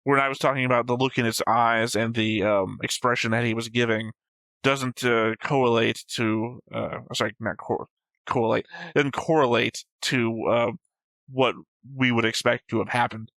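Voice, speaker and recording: A man's voice: mid-range voice, steady tone, normal speed, fairly bright tone, no echo. A man co-hosting a reaction show, picking apart points in casual debate with a precise, argumentative manner. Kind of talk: casual debate about an animated series.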